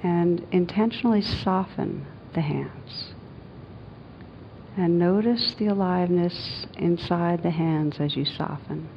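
A woman meditation teacher leading a guided meditation in a gentle, soothing, unhurried manner with long pauses. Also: vocal fry. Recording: dull lo-fi sound, rumbling background noise.

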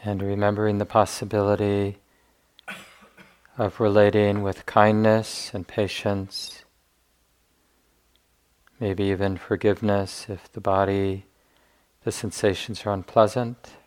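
A man leading a guided meditation as a teacher, speaking gently in unhurried phrases with long pauses. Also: fairly low pitch, flat delivery, smooth.

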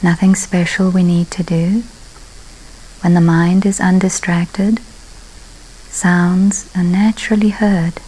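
A woman leading a guided meditation in a calm, unhurried way, leaving long pauses between soft, breathy-ending phrases. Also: on the low side, poor audio. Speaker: meditation teacher.

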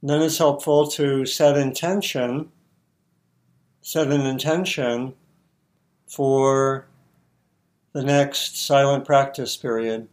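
A man teaching meditation, giving guided instructions in short, measured phrases with long pauses. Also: mid-range voice, fairly even intonation.

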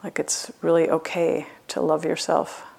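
Female meditation teacher, lower register with noticeable vocal fry, gentle and unhurried, leaving long pauses. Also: monotone. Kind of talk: guided loving-kindness meditation.

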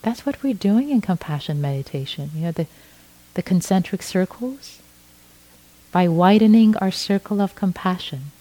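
A middle-aged woman from Iran, a native Farsi speaker, giving a gentle dharma talk as a meditation teacher, often restarting her phrases. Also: mid-range voice, animated, low-quality recording. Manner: slow, gentle, with frequent restarts.

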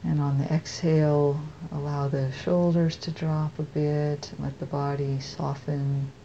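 An American woman leading a guided meditation as a teacher, speaking softly and unhurriedly with long pauses. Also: sits low, flat delivery, lo-fi sound, a little hiss or hum.

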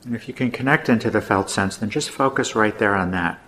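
A man teaching meditation, giving gentle, unhurried guided instructions with pauses. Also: on the low side, a little hiss or hum.

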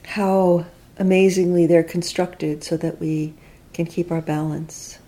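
A woman teacher leading a guided body scan meditation, speaking in gentle, reflective, unhurried instructions with long pauses. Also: on the low side, fairly even intonation.

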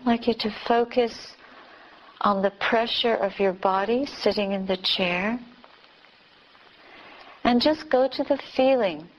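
A woman teacher leading a beginner's guided meditation, giving calm, gentle instructions with long pauses. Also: a little high, dull audio. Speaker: Buddhist nun teaching meditation.

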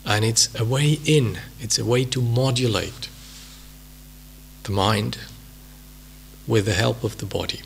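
Gravelly Swiss-accented meditation teacher. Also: male voice, mid-range voice, a little hiss or hum. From Switzerland. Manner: unhurried, reflective, many pauses and fillers. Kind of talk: dharma talk at a retreat.

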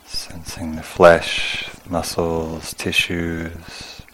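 A man teaching a guided meditation in a calm, measured manner, speaking in short phrases with long pauses. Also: sits low, slight background noise, low-quality recording. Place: meditation retreat.

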